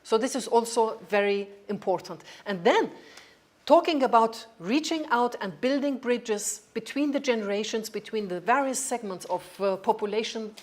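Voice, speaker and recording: A woman with a slightly high voice gives a lecture talk. She speaks, and her is Armenian. She pauses often, fills the gaps with ums, and restarts her phrases. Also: a little mellow. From Germany. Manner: hesitant, with frequent ums and restarts. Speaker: project founder speaking at an academic event.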